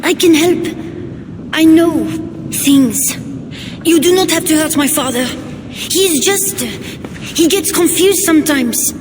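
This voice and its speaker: A small boy in a video game, high voice, earnest n brave but hesitant, noisy behind. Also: slow pace, long pauses. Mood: anxious, earnest. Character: earnest, brave little boy of a royal house. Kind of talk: dramatic video game acting.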